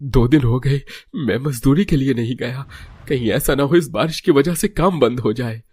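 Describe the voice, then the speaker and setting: A man's voice: mid-range voice, moderate pace, a little mellow, breathy edge, close to an everyday voice. Man acting an earnest, complaining cartoon man. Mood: worried. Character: poor worried father and unyielding laundry boss. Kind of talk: Hindi cartoon story acting.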